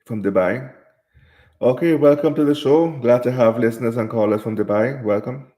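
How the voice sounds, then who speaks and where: A man's voice: medium pitch, moderate pace, mellow, echoey room. A man from Jamaica hosting a live stream, reading viewer comments aloud and answering them in casual chat.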